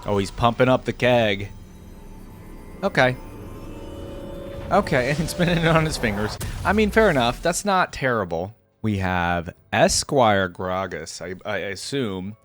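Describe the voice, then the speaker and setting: A man's voice: lowish voice, big pitch swings, unhurried, a bit bright, soft background music. A man giving casual reaction commentary as a video creator, thinking aloud and hedging his opinions as he goes.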